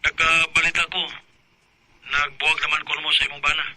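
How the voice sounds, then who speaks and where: A man's voice: a little high, level tone, brisk, background noise, natural voice. A man with a Cebuano Filipino accent acting an earnest, persistent suitor and old schoolmate in an audio drama. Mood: friendly, probing. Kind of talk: radio drama dialogue.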